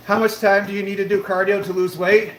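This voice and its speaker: A man's voice: high-pitched, level tone, a little fast, a bit bright. A man in his late thirties hosting a live stream from his treadmill, answering viewer comments in an upbeat, motivational way. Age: 30s. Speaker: livestream host. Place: home room with a treadmill, indoors. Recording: roomy echo, faint background noise.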